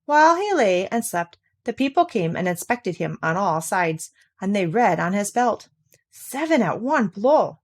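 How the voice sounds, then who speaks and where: A woman's voice: mid-range voice, lively intonation, normal speed, few pauses. A woman narrating a classic fairy tale, reading aloud from the text as a storyteller.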